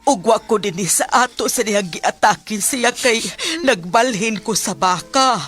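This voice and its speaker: A woman's voice: mid-range voice, measured pace, fairly bright tone, faint music behind, natural voice. Woman as a plaintive rural mother. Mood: sorrowful. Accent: Cebuano Filipino. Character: worried rural mother of grown sons. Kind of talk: Bisaya radio drama acting.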